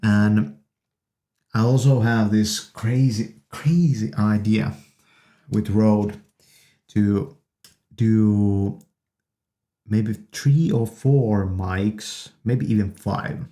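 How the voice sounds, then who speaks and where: A man casually demonstrating audio gear as a video host, halting yet enthusiastic, recorded on studio condenser microphones. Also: fairly low pitch, dark tone.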